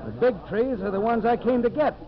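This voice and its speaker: Brash, lively 1950s radio timber boss. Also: male voice, very high-pitched, brisk, crowd noise. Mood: breezy, smug. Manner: commanding, sardonic. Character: brash, domineering timber boss grabbing forest land. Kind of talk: 1950s radio drama acting. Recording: muffled 1950s radio broadcast, audience sounds.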